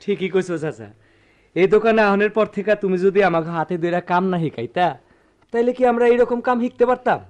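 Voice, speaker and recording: A man acting a young apprentice in a radio play, eager and respectful. His Dhaka-area Bangladeshi speech is high for a man and comes out quickly. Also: a little mellow, dull audio, natural voice. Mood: eager, admiring. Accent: Bangladeshi, Dhaka-area dialect.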